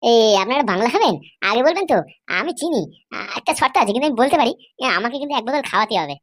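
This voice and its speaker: A woman's voice: sits high, lively intonation, rapid-fire. A woman playing a cagey, bargaining village drinker with a childlike voice and hesitant manner in a comedy cartoon. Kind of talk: amateur comedy cartoon dialogue.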